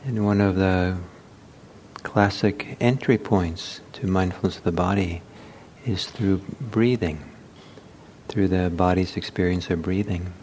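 A man leading a guided meditation as a teacher, speaking gently and unhurriedly with halting pauses. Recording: low rumbling background noise.

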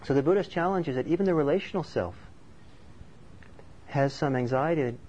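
A man's voice: a little high, fairly quick, darkish tone, breathy edge. A man from Norway giving a thoughtful, conversational dharma talk as a meditation teacher. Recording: slightly dull recording with faint background noise.

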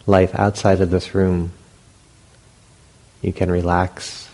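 Low, creaky-voiced man guiding meditation. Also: flat delivery. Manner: gentle, unhurried, with long pauses. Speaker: meditation teacher. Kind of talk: guided meditation, unscripted.

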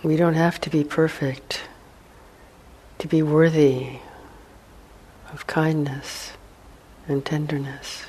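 A woman meditation teacher leading a guided meditation in a hushed, soothing manner, with long pauses between short phrases. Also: low-pitched, level tone, slightly rough, low-quality recording. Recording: faint background noise.

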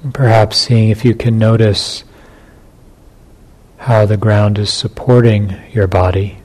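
Slow, low-voiced man guiding meditation. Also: little pitch movement, darkish tone. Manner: unhurried, with long pauses between short phrases. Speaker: meditation teacher. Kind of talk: guided meditation instruction.

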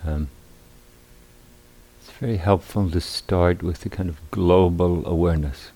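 A man teaching guided meditation at a retreat, speaking in deliberate, hesitant phrases with long pauses. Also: sits low, steady tone, mellow, low-quality recording.

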